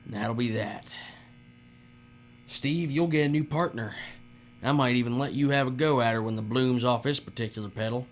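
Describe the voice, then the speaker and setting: A man's voice: mid-range voice, moderate pace, long pauses, close to an everyday voice. Man acting cruel folksy bully, muffled hum. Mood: gloating, bossy. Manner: taunting drawl with folksy uneducated grammar. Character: cruel, leering, folksy male bully boss in a dystopia. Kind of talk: audio drama acting. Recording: muffled audio with steady mains hum.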